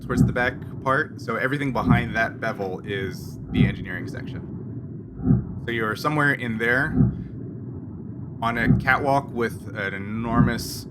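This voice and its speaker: Male game master, mid pitch, improvised halting narration, heavy background noise and rumble. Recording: noisy, loud background hum.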